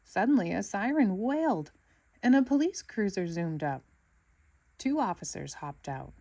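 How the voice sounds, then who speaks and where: A woman reading a children's storybook aloud in an expressive narrating style. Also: medium pitch, unhurried. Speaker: storybook reader.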